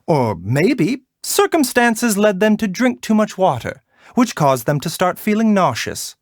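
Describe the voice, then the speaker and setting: A man voicing a gentle, refined video game doctor who is polite, soft-spoken, caring and a little wry. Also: high voice, big pitch swings, dry recording, studio quality, heavily acted. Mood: gently admonishing. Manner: measured, soft-spoken, polite, lightly teasing. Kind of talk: video game character voice lines.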